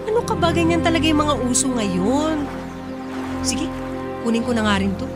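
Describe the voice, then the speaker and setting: A woman's voice: high-pitched, expressive, moderate pace. An actress playing a carefree, impulsive young single mother who loves shopping, breezy and playfully dismissive in Filipino radio drama style. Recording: loud music bed behind the voice.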